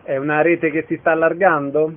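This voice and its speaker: A man's voice: on the high side, little pitch movement, a little fast, phone-quality sound, slight background noise. A man hosting a radio programme, asking questions and announcing events. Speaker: radio host, interviewer.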